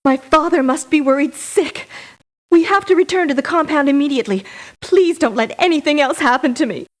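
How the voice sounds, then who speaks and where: A woman's voice: sits high, fairly quick, lightly acted. An actress playing a frightened, fragile woman rescued from captivity in a game, emotional and pleading. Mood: frightened, relieved. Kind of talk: scripted game voice acting.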